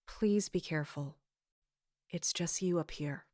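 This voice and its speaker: Woman acting a measured, secretive leader, slow-paced. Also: fairly low pitch, darkish tone, no echo, studio quality, lightly acted. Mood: relieved, concerned. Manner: measured, evasive, firm. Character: secretive, weary woman in charge, protective. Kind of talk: audio drama acting.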